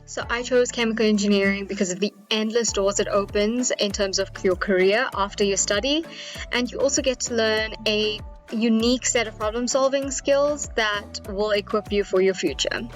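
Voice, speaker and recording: A woman talks at an average pitch. She gives a graduate's testimonial over quiet background music. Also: normal speed. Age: 20s. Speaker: recent engineering graduate. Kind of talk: personal testimonial.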